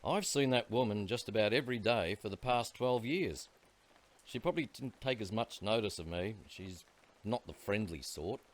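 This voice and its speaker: Man acting lowish-voiced, chatty shop owner. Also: moderate pace, natural voice. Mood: chatty, certain. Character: chatty, matter-of-fact male neighbouring shop owner giving witness account. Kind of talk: radio play acting.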